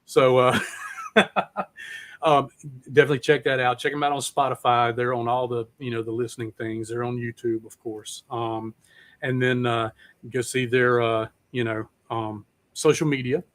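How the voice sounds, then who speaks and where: A man's voice: mid-range voice, flat delivery, normal speed, fairly bright tone. A man hosting a casual, rambling chat in a folksy, laid-back, profane way. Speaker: podcast host.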